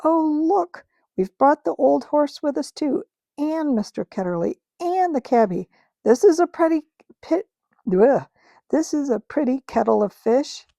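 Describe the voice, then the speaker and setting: A woman voicing a brave, brisk young girl who speaks with urgent excitement. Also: a little high, gravelly, no room sound, voice pushed up. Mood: excited.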